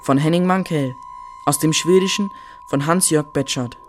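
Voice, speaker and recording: A man reading radio drama credits as an announcer, in a measured, formal manner. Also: on the high side, soft background music.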